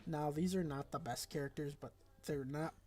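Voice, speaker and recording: Congested raspy man rambling through game talk. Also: on the high side, steady tone, normal speed, warm tone. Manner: loose and rambling, with frequent fillers and self-corrections. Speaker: gaming video creator. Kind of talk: casual tier-list commentary.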